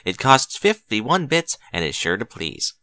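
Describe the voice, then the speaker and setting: A man plays a young pony salesgirl from a storybook, eager to sell. The delivery is bright and animated, with clear wording and a dry sound. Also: medium pitch, measured pace, no room sound, heavily acted. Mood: salesy. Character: young female pony shop assistant, eager sales pitch. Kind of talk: storybook character dialogue.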